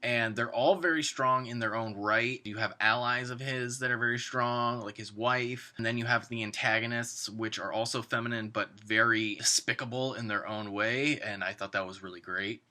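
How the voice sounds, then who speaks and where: A man's voice: medium pitch, steady tone, normal speed, few pauses, bright, forceful tone. A man giving an informal spoken review of a script, talking in an emphatic, conversational way.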